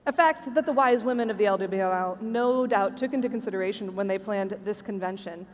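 A woman's voice: mid-range voice, a little fast, lo-fi sound. An American woman from Michigan presenting scripted comic trivia to a live audience in a playful, emphatic way. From Michigan, United States. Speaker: podcast host presenting trivia. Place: convention stage before a live audience. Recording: reverberant hall stage recording, background noise.

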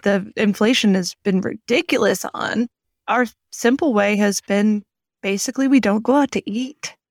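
A woman's voice: medium pitch, normal speed, no room sound. Reflective woman chatting, dry close sound. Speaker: podcast co-host. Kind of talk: casual chat.

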